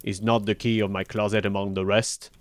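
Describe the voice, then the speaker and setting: A man's voice: on the low side, level tone, lightly acted. Man acting a menacing, bellowing storybook tyrant husband. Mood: stern. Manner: commanding, drawn-out emphatic shouts. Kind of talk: dramatic fairy-tale storybook reading.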